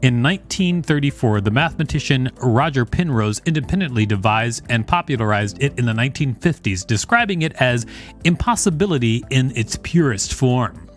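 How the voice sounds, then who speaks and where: A man's voice: medium pitch, lively intonation, moderate pace, faint music behind. A man co-hosting a podcast, reading encyclopedia text aloud in a conversational way with casual asides. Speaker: podcast co-host.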